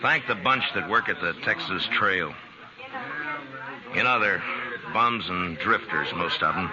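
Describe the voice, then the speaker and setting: An American actor playing a steady, wry, plain-spoken marshal in a 1950s radio western. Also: male voice, low-pitched, unhurried, crowd noise. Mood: gentle, sincere. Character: steady, wry, authoritative Old West U.S. marshal. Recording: muffled, very noisy 1950s radio recording.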